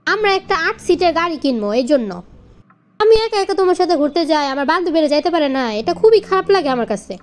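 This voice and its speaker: Casting: female actor as demanding young cartoon wife, extremely high-pitched, Bangladeshi accent, scolding and insistent. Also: big pitch swings, brisk. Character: bossy, demanding young wife devoted to her girlfriends. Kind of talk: comic cartoon dialogue in colloquial dialect.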